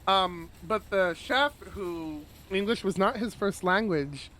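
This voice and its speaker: A man reading a visual novel aloud on a stream, casual and expressive, with rambling conversational asides. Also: high voice, slow pace, a little hiss or hum.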